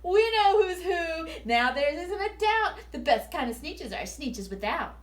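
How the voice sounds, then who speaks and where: A woman's voice: very high voice, very animated, unhurried, far from the mic, pitched above the performer's own voice, full character voice. A woman voicing snooty, boastful beaked creatures from a children's storybook in a haughty, declaiming way. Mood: smug, shouting. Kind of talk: animated children's storybook read-aloud.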